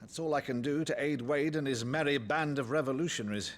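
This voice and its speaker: An actor playing an older nobleman, a blustering but warm-hearted uncle, in a theatrical, grandiloquent video game performance. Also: mid-range voice, brisk, a little hiss or hum, natural voice. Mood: grave, weary. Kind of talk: dramatic video game acting.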